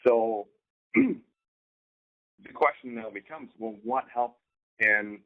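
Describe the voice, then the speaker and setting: A man with a slightly low voice speaks through a thin, phone-like connection. He coaches informally, doubling back on his words and often checking in with a quick "right?". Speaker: co-host, online business coach. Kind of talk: informal instructional talk. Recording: thin, phone-like band-limited sound.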